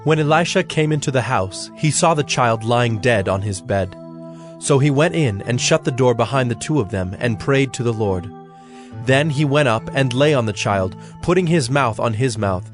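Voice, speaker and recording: A man reading scripted Bible narration in a measured, solemn manner. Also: medium pitch, lively intonation, soft background music.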